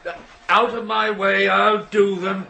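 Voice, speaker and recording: A man playing a gruff, dismissive middle-aged railway signalman and father in a radio drama, brusque and blunt. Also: sits high, flat delivery, measured pace, bright, forceful tone, exaggerated voice. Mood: irritated. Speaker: actor in a radio play. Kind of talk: naturalistic radio drama acting.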